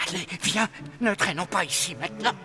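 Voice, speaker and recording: Anxious high-pitched cartoon sidekick. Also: male voice, expressive, brisk, crowd noise. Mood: alarmed. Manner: nervous, deferential, stammering when alarmed. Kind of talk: French animated fairy-tale dubbing.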